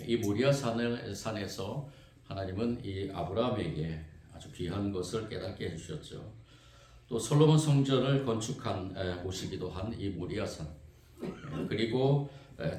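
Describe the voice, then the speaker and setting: A man preaching a sermon as pastor, hoarse from a cold, earnest and steady with frequent filler sounds. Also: fairly low pitch, normal speed. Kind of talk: sermon with responsive scripture reading. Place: church sanctuary. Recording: echoey church room, faint noise.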